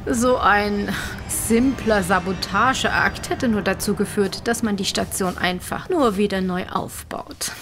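An actress playing a cold-blooded scientist who is a hired killer, gloating softly and theatrically in an audio drama. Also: female voice, mid-range voice. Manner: slow, smug, theatrical gloating with soft menacing phrasing.

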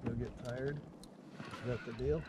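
A man's voice: mid-range voice, steady tone, unhurried. An older man speaking in short, laconic questions while fishing from a kayak at sea, heard faintly from a distant action camera. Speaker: fishing companion. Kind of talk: casual chat while fishing. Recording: faint voice from far off in loud wind and water noise.

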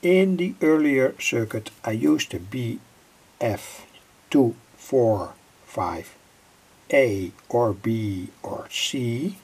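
A middle-aged man with a gravelly, husky voice giving an informal, halting technical explanation as a hobbyist presenter. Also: mid-range voice, animated, a little mellow. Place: indoor home electronics workbench.

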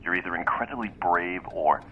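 An actor playing a polite but threatening mystery caller in an audio drama, speaking formally and with measured menace. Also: male voice, sits low, muffled recording, slight background noise, lightly acted. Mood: cool menace.

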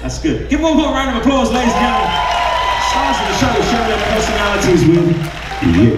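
Middle-aged hypnotist guy barking commands on stage, high and sing-songy, music and crowd all around. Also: animated, quick pace. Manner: loud, commanding, sing-song hype. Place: large theater stage. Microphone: handheld mic. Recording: echoey theater audio from far off.

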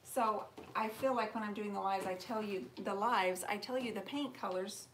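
Brief: woman, 40s, mid-pitched, chatty and rambling, live-stream DIY host reading comments aloud, faint hiss behind. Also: moderate pace, low-quality recording. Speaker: furniture painter hosting a live stream. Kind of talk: casual live-stream tutorial chat. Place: home workshop, indoors. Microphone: camera mic, moves toward and away from it.

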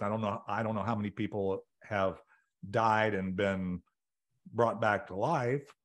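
A middle-aged man hosting an interview podcast over a video call, rambling and restarting his sentences often. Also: on the low side. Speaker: podcast host. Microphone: video call webcam.

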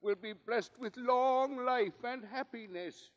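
An actor playing a wise astrologer in a vintage radio comedy, declaiming prophecy in a solemn, portentous way. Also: male voice, extremely high pitch, slow pace. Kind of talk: 1940s radio comedy acting. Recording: muffled 1940s radio recording.

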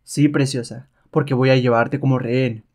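A man's voice: mid-range voice, fairly even intonation, moderate pace, darkish tone, natural voice. A man playing a sly, rebellious male adventurer held as a slave, mocking and self-assured, in a comic-book reading. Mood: gloating, threatening.

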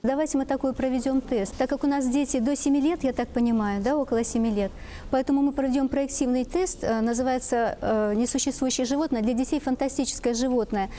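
Mellow-voiced middle-aged woman, explaining. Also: high-pitched, steady tone, fast talker, slight background noise. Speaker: psychologist, studio expert. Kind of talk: panel discussion in a talk show. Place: TV studio with seated audience.

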